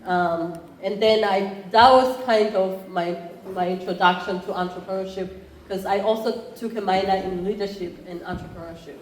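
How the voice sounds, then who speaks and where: A woman in her thirties with a Rwandan accent addresses a conference room in a relaxed, conversational way. She often pauses and restarts her sentences. The room is noticeably roomy, with faint ambience behind her. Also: mid-range voice, a little hiss or hum. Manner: conversational, with frequent fillers and restarts. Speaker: entrepreneurship program chair. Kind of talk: conference presentation.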